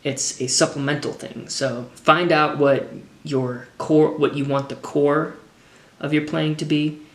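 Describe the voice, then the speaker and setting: An American man in his thirties talking casually and unscripted, thinking through his answers as a drummer with frequent halting pauses, recorded indoors at a table. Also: fairly high pitch, flat delivery. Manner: halting, thoughtful, pausing to formulate answers. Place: indoors, open kitchen-dining room at a table. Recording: echoey room.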